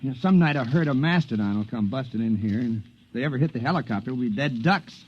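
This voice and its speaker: A man's voice: mid-range voice, animated, fast, lightly acted. A man playing a nervous, cautious companion. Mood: worried. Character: nervous, cautious male time-travel companion. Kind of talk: 1950s radio drama acting. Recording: dull lo-fi 1950s radio broadcast.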